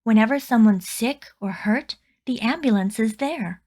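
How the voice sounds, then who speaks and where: A woman reading a rhyming children's picture book aloud with deliberate, expressive storytelling phrasing. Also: a little high.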